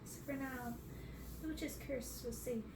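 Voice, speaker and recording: A woman's voice: high voice, unhurried. A young adult woman reading a storybook aloud for ASMR in a bedroom. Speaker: ASMR reader. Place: bedroom, indoors. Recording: roomy, distant, clearly noisy bedroom recording.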